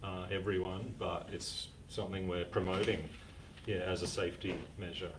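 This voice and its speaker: A man co-hosting a casual live stream, speaking in an easygoing, halting way full of "um"s and dry little jokes. His voice is very low. He sounds a step back from the microphone, with a faint hum underneath. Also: level tone. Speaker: co-host. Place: community repair centre. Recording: distant mic with faint background noise.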